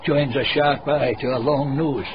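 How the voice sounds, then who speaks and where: An actor playing a venerable, learned Scottish cobbler in a radio drama, telling gossipy tales in a wordy, formal dialect. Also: male voice, medium pitch, steady tone, a little slow, natural voice. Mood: dramatic storytelling. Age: older. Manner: wordy, dialect-rich, pedantic storytelling. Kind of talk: radio drama dialogue, storytelling. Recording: muffled radio broadcast, background hiss.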